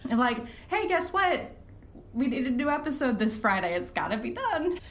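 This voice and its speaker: A woman chatting casually as a podcast guest, candid and self-deprecating, quick to laugh. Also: high-pitched, very animated, slight background noise. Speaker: podcast guest, fiction podcast creator and writer. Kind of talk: casual interview chat. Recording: roomy, echoey sound.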